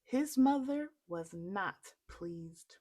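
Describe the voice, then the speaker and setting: A woman reading a children's story aloud in an expressive storytelling style. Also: mid-range voice, extremely slow.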